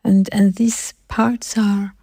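Mellow, halting woman, non-native English speaker. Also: mid-range voice, little pitch movement, vocal fry, husky, dry recording, clean studio sound. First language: not English. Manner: halting, searching for words. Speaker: artist in conversation. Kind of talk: reflective art conversation.

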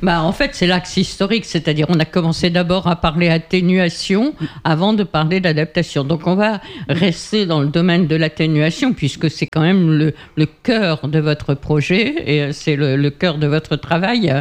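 A man's voice: fairly high pitch, moderate pace. A man hosting an interview, asking conversational questions about climate efforts.

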